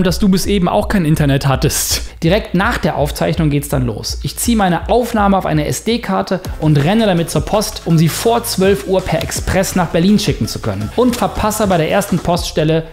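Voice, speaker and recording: A man in his thirties, a YouTuber and screenwriter, narrating his own self-experiment in a lively, wry documentary style. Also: high voice, moderate pace, creaky, soft background music.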